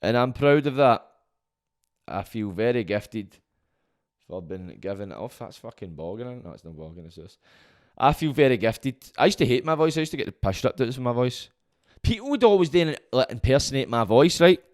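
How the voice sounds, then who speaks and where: Booming Glaswegian man, sweary podcast host. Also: sing-song, brisk. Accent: Scottish, Glaswegian. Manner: loud booming voice, sweary and rambling. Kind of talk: casual monologue.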